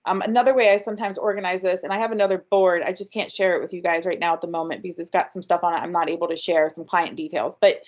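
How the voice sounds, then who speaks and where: A woman's voice: on the low side, steady tone, fast talker, few pauses, muffled recording. A woman giving an upbeat, chatty webinar presentation with frequent ums. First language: English. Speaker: workshop presenter.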